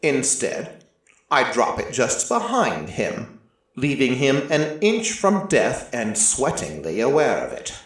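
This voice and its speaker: A man narrating dramatic first-person fiction in a deliberate, theatrical storytelling manner. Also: medium pitch, wildly swooping pitch, fairly bright tone. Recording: very echoey, distant from mic.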